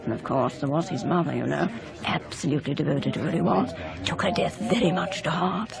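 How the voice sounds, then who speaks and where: A woman's voice: sits low, brisk, vocal fry, a crowd in the background. An actress playing a well-meaning, motherly middle-aged society wife in a radio drama, chatting in a genteel, confiding voice. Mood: confiding, gossipy. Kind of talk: 1990s British radio drama acting.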